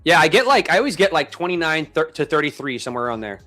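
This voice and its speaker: Man, late thirties, forceful bright voice, casual slangy chat, stream host, music behind. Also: on the high side, big pitch swings, fast. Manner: informal, slangy bro-talk. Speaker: live trading stream host.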